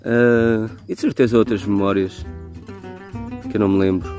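Man, forties, European Portuguese accent, low pitch, halting personal message, faint music behind. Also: fairly even intonation, darkish tone. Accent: European Portuguese, Lisbon. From Lisbon, Portugal. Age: 40s. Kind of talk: personal video message, reminiscing. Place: outdoors in a garden. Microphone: phone at arm's length.

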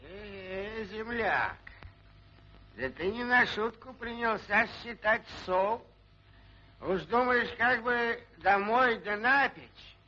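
Old Cossack sidekick for animation: hearty, teasing, very high sharp voice, unhurried. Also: male voice, full character voice. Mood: teasing, curious. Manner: animated, folksy banter. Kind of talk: theatrical Soviet cartoon acting. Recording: dull old film soundtrack.

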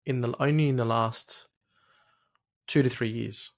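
A man in his late thirties from Egypt and Australia, a podcast guest sharing personal stories in a reflective, halting way with repeated phrases. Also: medium pitch, steady tone, vocal fry. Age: late 30s. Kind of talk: personal storytelling in conversation. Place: small home studio, indoors. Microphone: close podcast mic on arm.

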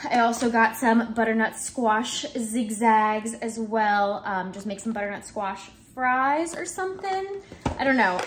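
A woman in her twenties chatting casually in her home kitchen, relaxed and full of ums and likes, filmed by a camera in front of her. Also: mid-range voice, slight background noise. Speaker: vlogger. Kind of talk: casual grocery haul chat. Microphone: camera in front, close framing.

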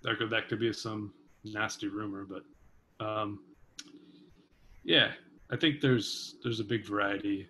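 A man's voice: fairly low pitch, steady tone, slow, long pauses, sharp tone, a little hiss or hum. A man in his thirties, a musician guest, talking thoughtfully from his kitchen over a video call, hedging with many fillers. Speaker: vibraphonist and composer guest. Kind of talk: casual conversation. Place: home kitchen. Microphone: video call webcam.

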